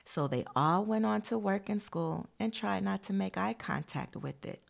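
A woman's voice: medium pitch, expressive, moderate pace, creaky. A woman teacher reading a picture book aloud expressively to young children, adding encouraging asides addressed straight to them.

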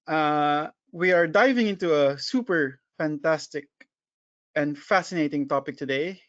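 A man's voice: fairly high pitch, fairly bright tone, clean tone, no room sound. Upbeat man hosting a webinar, dull dry sound. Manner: upbeat, many fillers. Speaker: product marketing manager hosting a webinar. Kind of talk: webinar introduction.

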